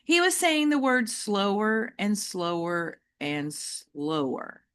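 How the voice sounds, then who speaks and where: A woman reading a children's novel aloud like an audiobook, with deliberate, emphatic and varied phrasing. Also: medium pitch, clean tone. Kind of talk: expressive audiobook-style storytelling for children.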